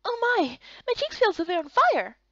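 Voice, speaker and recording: A woman giving an expressive scripted voice-over of a visual novel. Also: very high voice, fairly quick, no echo, muffled recording.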